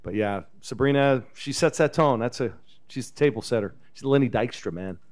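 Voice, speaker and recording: A man in his fifties, a head coach, talking casually at a post-game press conference into a table microphone, relaxed and chatty. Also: mid-range voice, normal speed. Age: 50s. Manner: relaxed, chatty, chuckles often. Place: press conference room with backdrop. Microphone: table microphone on a stand.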